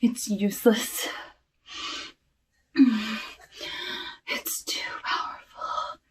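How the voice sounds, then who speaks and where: Breathy, very slow witch acted by a woman. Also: fairly high pitch, fairly even intonation, distant mic, exaggerated voice. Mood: gloating. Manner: slow, hushed, drifting into whispers, savoring. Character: sinister cursed flesh-eating forest witch, coolly menacing. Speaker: ASMR roleplay performer. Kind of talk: ASMR roleplay, soft talking.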